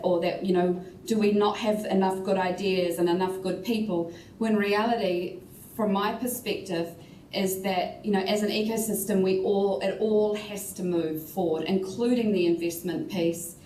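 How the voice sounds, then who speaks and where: A woman with a New Zealand accent speaks as a panelist in a measured way, often filling pauses with "you know" and "um". Her voice is dark and mellow. It comes from a distance in a roomy, echoey space, with faint noise behind it. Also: medium pitch. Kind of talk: casual panel discussion.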